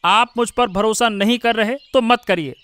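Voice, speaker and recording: A man's voice: sits high, moderate pace, sharp tone, clean tone, no room sound, soft background music. A man voicing a defensive, boastful young village errand man in a cartoon story. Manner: protesting.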